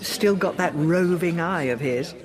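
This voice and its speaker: A woman's voice: fairly low pitch, measured pace, crowd noise, close to an everyday voice. An actress playing a nosy, slyly teasing village woman in a radio soap. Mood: sly probing. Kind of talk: radio soap drama acting.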